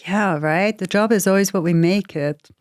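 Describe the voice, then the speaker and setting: A woman's voice: mid-range voice, normal speed, dry recording, studio quality. A woman in her forties from Switzerland, talking frankly as a guest in an interview, often adding "you know" and "right". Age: 40s. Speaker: guest, AI lead in pharma. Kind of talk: casual interview conversation.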